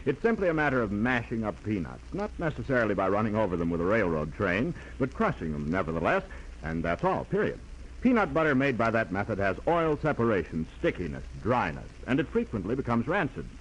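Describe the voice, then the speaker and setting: Lively male 1940s radio pitchman. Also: a little fast, muffled recording. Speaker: commercial pitchman. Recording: noisy 1940s radio recording.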